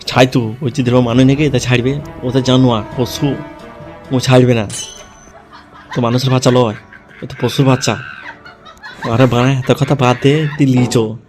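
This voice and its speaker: A man plays a vengeful cartoon thug who plots and swears. His talk is crude and full of menace, in a middle-pitched voice. Music plays behind him. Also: flat delivery, normal speed, pauses often, darkish tone. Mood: threatening. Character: foul-mouthed vengeful male gang schemer in comedy cartoon. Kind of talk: comedy cartoon character dialogue.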